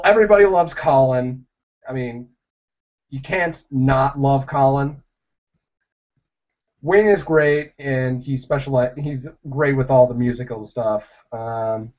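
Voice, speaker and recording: A young man drifts slowly through a casual livestream chat, stopping and restarting his thoughts as he answers viewers. His voice sits at an ordinary pitch for a man, and the sound is dull and lo-fi. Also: darkish tone. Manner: rambling, halting, with many fillers and restarts.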